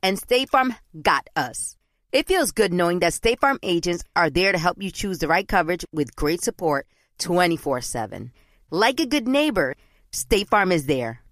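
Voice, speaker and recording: Reassuring woman in an ad, lively pitch. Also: normal speed, no echo, clean studio sound. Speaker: ad voice-over. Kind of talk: scripted commercial read.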